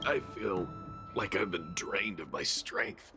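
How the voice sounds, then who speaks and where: A man playing a dazed, bewildered soldier in a video game, stammering and halting as he recounts events. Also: low-pitched, expressive, over music, heavily acted. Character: shaken, bewildered young male soldier recovering from possession. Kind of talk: dramatic video game dialogue.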